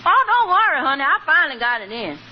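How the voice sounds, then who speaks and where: Very high-voiced comic maid. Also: female voice, big pitch swings, fast. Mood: coy, amused. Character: good-natured, giggly household maid in radio comedy. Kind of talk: 1940s radio comedy acting. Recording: dull, noisy 1940s radio recording.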